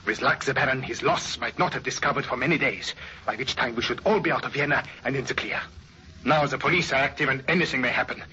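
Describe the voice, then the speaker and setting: A man acts a proud, easily affronted German swindler in a vintage radio play. His voice is bright and forceful, his phrasing formal and foreign. The old recording is dull, with faint noise. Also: mid-range voice, fast, close to an everyday voice. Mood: reproachful. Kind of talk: 1950s radio drama acting.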